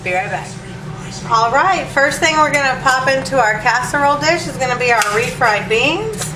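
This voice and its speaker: A woman's voice: sits high, normal speed. Stuffy-nosed woman chatting through a recipe. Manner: chatty, relaxed, lots of ums and asides. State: stuffed up from allergies, sniffling. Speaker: home cook showing a recipe. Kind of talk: casual cooking demo. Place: home kitchen. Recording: echoey room, distant mic, hiss.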